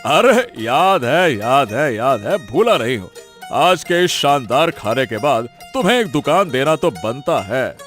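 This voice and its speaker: A man's voice: mid-range voice, sing-song, unhurried, a bit bright. Man acting a blustery village big man, swooping delivery. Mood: reassuring. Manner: emphatic, repeating himself. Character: blustery, food-loving village big man, fair-minded. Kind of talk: scripted cartoon story acting. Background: music.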